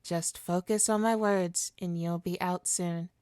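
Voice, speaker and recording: A woman playing a suave supervillain hypnotist in an ASMR roleplay, coaxing and possessive, with a soothing, deliberate, mock-polite manner. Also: fairly low pitch, fairly even intonation, a little mellow, clear, resonant tone, no echo, clean studio sound, close to an everyday voice. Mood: soothing, hypnotic.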